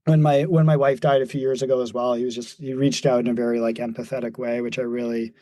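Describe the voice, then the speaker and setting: A man's voice: medium pitch, little pitch movement, fast talker, warm tone, no room sound. Guy in his forties musing on a podcast, lots of ums and restarts, dry close mic. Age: 40s. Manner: thoughtful, many fillers and restarts, laughs easily. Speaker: podcast guest, mountain runner. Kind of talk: reflective casual conversation.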